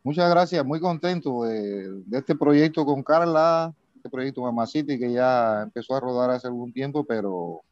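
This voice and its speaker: A man from eastern Cuba with a Cuban Spanish accent, answering interview questions in a polite, unhurried, reflective way. His voice sits at a middle pitch. He comes through a dull, dry remote connection with no room sound. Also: a little mellow, dry recording. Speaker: singer, guest. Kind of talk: casual interview.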